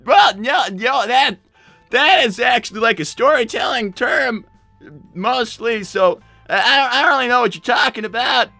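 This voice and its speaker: A man's voice: very high voice, animated, moderate pace, gravelly, sharp tone, no echo, faint music behind, heavily acted. A man voicing an earnest young male cartoon fox in improvised livestream comedy, stammering and protesting. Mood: flustered, defensive.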